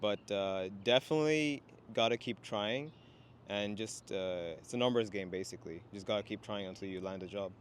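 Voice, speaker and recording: Low-voiced young man, relaxed chat. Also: normal speed, a little hiss or hum. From Sri Lanka, raised in Qatar. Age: young adult. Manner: relaxed, many fillers like "you know". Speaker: guest, international student. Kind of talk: casual conversation. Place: outdoors in a city, traffic nearby.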